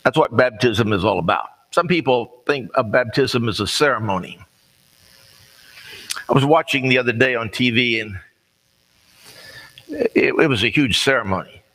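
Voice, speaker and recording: An American man preaching a sermon in a folksy, conversational storytelling way, plain-spoken and pausing deliberately. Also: mid-range voice, lively intonation, sharp tone. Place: large reverberant room, preaching to a congregation. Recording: long hall reverb.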